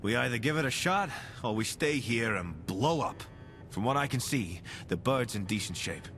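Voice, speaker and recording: An actor playing a weary, guilt-ridden soldier companion in a video game, conversational and brooding, with sighs. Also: male voice, medium pitch, expressive, normal speed, fairly bright tone, soft background music. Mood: determined, grim. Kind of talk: video game character dialogue.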